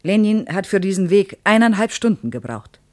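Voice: mid-range voice, sing-song, moderate pace, natural voice. A performer playing an earnest, reverent female Soviet tour guide in a radio play, reciting history. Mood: earnest, proud.

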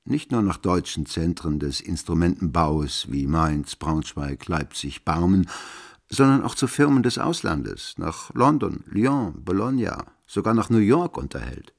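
An actor playing a wordy, old-fashioned man with a gravelly voice, reporting in elaborate formal sentences in a radio drama. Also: sits low, very animated, measured pace, full character voice. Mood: informative, emphatic. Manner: formal, long-winded, elaborate phrasing. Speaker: character in a radio play. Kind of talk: literary radio drama recital.

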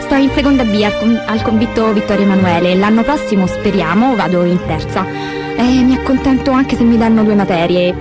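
A woman's voice: medium pitch, a little fast, natural voice. A woman playing a chatty, dreamy fifteen-year-old schoolgirl who introduces herself in a candid, self-mocking radio theatre monologue. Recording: loud music under the voice. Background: loud instrumental music.

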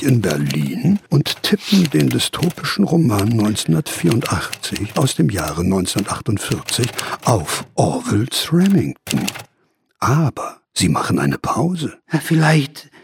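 A man's voice: medium pitch, creaky, background noise. An actor playing an agitated, sardonic man who narrates his visions to the listener in a theatrical radio play monologue.

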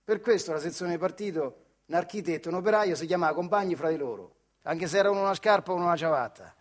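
A working-class storyteller from a radio stage monologue, a man with a fairly high voice and a central Italian Marche accent. He recalls his life in earthy, colloquial phrases. His delivery is wry and emphatic, broken by dramatic pauses. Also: expressive. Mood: wry reminiscing. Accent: central Italian, Marche dialect. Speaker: stage actor performing a monologue.

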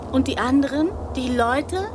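A dreamy woman in love in a radio drama. Her voice sits fairly high, and she muses in short, naive phrases like a child. She comes across as fanciful and trusting. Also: a little slow, background noise. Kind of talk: German radio drama acting.

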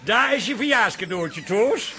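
An actor playing a jovial, teasing husband in a Dutch café radio play, familiar and boisterous. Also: male voice, sits high, expressive, measured pace, pushed and bright, faint music behind, full character voice. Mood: genial.

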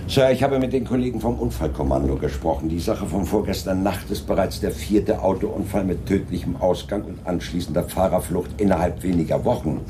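A man playing a dry, composed, persistent police inspector in a radio crime play, speaking with measured, polite insistence. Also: low voice, noisy background, close to an everyday voice. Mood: serious, insistent. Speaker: actor in a radio crime drama. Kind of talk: 1980s German radio play acting.

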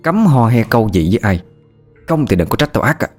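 Mean stepmom, fake sweet then nasty, a guy doing her, lowish voice. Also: moderate pace, darkish tone, soft background music, lightly acted. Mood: threatening. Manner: sweet-talking, threatening. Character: cruel stepmother, two-faced and harsh.

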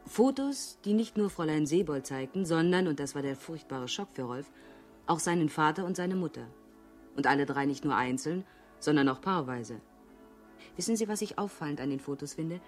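A woman's voice: sits low, expressive, normal speed, soft background music. An actress in a radio crime drama playing a cool, outspoken younger police detective, composed and pointed in how she speaks. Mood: pointed, analytical.

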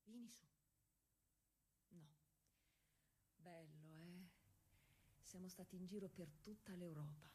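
An actress playing a proud, eager young woman racing cyclist of the early 1900s in a conversational, insistent stage monologue, with a husky voice. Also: fairly low pitch, takes it slowly, pauses often, mellow, a little hiss or hum. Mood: excited, chatty. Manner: explanatory, conversational, insistent with repeated checks. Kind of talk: theatrical monologue addressed to an unseen listener.

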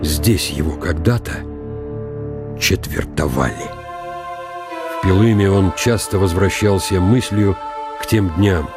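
An actor playing an aging military count, proud and brooding, in a deliberate, reflective radio drama monologue. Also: male voice, deep voice. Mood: grave, haunted. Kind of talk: dramatized literary reading with inner monologue. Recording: studio radio drama with music behind.

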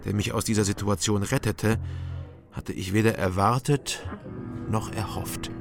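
An actor playing a wary, sober former drinker and amateur investigator in a radio drama, speaking in a dry, restrained way. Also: male voice, lowish voice, steady tone, unhurried, gravelly, soft background music. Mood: dry narration. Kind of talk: radio drama acting with first-person narration.

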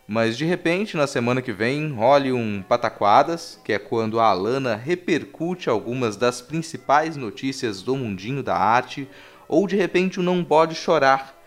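A man with a Brazilian accent hosting a podcast, talking in a casual, conversational style. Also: medium pitch. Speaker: podcast host.

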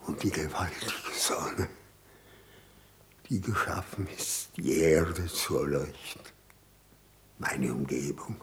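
An actor playing a very old, indignant, grandiose cardinal in a 1960s German radio play, declaiming pompously and haltingly. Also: male voice, deep voice, fairly bright tone, exaggerated voice. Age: older. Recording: roomy echoing 1960s radio studio.

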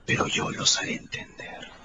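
An actor playing a secretive, irritable and menacing stranger, curt and imperious, in a radio drama. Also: male voice, moderate pace, highs cut off, heavy background noise, natural voice. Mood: cold, curt.

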